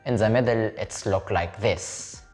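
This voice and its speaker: A man who teaches Arabic as a native speaker, leading a step-by-step beginner lesson in English and repeating each word slowly so the viewer can copy it. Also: fairly low pitch, darkish tone, faint music behind. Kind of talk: slow step-by-step language lesson.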